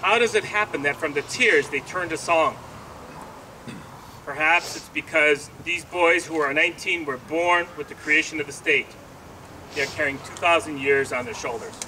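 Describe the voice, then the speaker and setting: A man reads poetry aloud at a ceremony in a solemn, deliberate, emphatic style. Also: fairly high pitch, sharp tone, recorded from a distance, a little hiss or hum, rough recording. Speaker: congregation president reading a poem. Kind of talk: poetry recitation.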